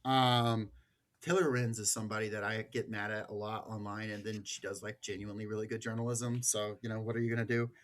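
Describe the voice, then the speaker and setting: A man's voice: fairly low pitch, level tone, fast talker. A man hosting a podcast, talking informally and slangily in a casual style of political chat and storytelling. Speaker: podcast host.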